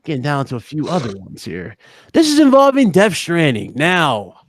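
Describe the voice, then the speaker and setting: A man's voice: on the high side, huge pitch swings, normal speed, dry recording. Male gaming podcast host, close dry sound. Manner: informal, teasing, addresses co-hosts and chat. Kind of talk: casual live-stream chat and news commentary.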